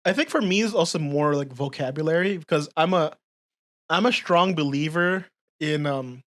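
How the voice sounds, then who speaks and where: Low-voiced woman, slight Filipino accent. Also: normal speed. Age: 20s. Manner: direct, laughing, casual swearing. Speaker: podcast co-host. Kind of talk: casual chat.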